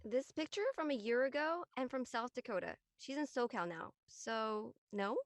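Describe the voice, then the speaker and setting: A woman's voice: on the high side, moderate pace, no room sound, clean studio sound, lightly acted. A woman playing a chirpy, curious and friendly teenage girl in a casual audio drama. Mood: matter-of-fact. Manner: casual, inquisitive.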